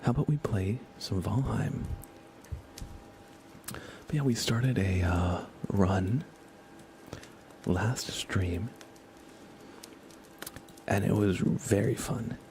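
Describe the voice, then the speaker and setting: A soft-spoken man chatting casually as he hosts an ASMR gaming stream, often slipping into whispers. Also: lowish voice, very slow, long pauses. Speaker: livestream host. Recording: faint computer fan noise. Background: computer fans, game ambience.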